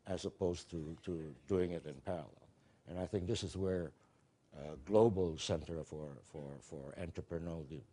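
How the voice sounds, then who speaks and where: A man speaking on a conference panel, halting and often repeating words as he restarts. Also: sits low, warm tone. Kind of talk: panel discussion remarks. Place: conference hall.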